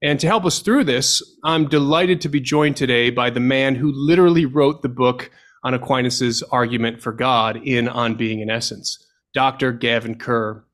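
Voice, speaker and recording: A man hosts a podcast, opening with a scripted introduction before putting careful questions to his guest. He speaks in a measured, deliberate way at a middling pitch. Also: a bit bright. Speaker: podcast host.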